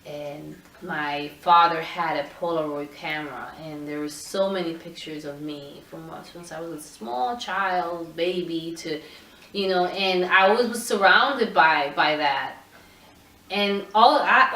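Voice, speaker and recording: A middle-aged woman from Puerto Rico, a photographer answering interview questions in a thoughtful, halting way with many restarts. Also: fairly low pitch, a bit bright. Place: indoor room with her camera collection. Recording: roomy sound, mic at a distance.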